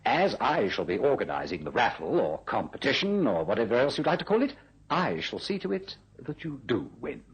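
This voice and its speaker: Glib, scheming boss, wildly animated delivery. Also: male voice, medium pitch, fairly quick, breathy edge, heavily acted, low-quality recording. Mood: gloating. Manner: glib, wheedling, condescending. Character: scheming, smooth-talking male business boss and racehorse schemer. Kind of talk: old-style radio drama acting.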